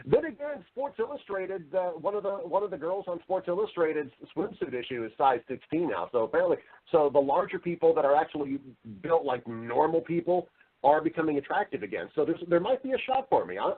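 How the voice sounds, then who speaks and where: A man's voice: fairly high pitch, husky, phone-quality sound. A man speaking as an interview guest, casual and wry, often restarting and rephrasing his sentences with self-deprecating humour. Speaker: interview guest, voice-over artist and actor.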